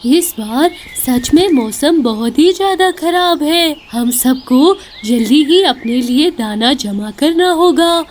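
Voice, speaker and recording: A woman's voice: high voice, animated, slow, few pauses, a little hiss or hum. A woman voicing a hard-working, fretful male forest bird in an earnest, plain way for a children's cartoon. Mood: agreeing, concerned.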